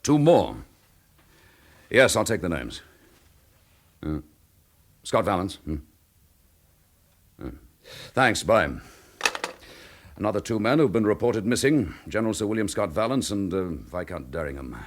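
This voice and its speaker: An actor playing a dogged, frustrated police chief inspector in a radio drama, with a brisk, probing, forceful manner. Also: male voice, lowish voice, sing-song, exaggerated voice. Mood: weary, businesslike.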